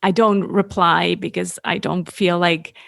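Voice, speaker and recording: A woman's voice: lowish voice, fairly even intonation, measured pace, creaky, no echo, clean studio sound. A woman hosting a podcast interview, thinking aloud in a candid, reflective way. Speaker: podcast host. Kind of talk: conversational interview.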